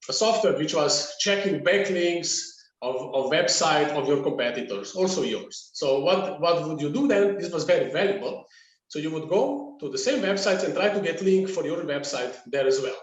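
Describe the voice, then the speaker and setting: Male podcast guest, middle-aged, Slovenian accent. Halting, repetitive delivery, slightly high pitch, roomy distant sound. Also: animated, dull audio. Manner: halting, repeats words. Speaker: podcast guest, agency founder. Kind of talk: casual conversational interview answer. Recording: echoey room, distant from mic.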